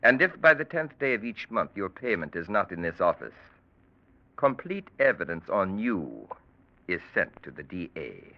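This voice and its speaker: An actor playing a cold, calculating crime-drama surgeon in 1940s radio style, speaking formally and precisely with unruffled menace. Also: male voice, sits low, big pitch swings, normal speed. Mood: cold, menacing. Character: cold, calculating male surgeon extorting his criminal patients. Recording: muffled vintage radio drama recording.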